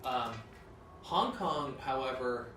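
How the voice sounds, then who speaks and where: A man's voice: mid-range voice, animated, slow pace. A man giving a corporate training talk about a market, hesitant with many ums and restarts. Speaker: company presenter on markets. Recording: echoey room, mic at a distance, clear noise.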